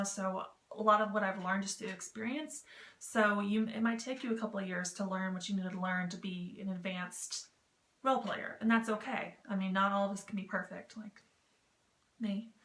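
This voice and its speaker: Woman, medium-pitched voice, casual chatty vlog explainer, self-deprecating and jokey, roomy echo. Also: fairly even intonation, moderate pace, a bit bright. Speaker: vlog host giving tips on written role-playing. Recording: roomy echo with clear background noise.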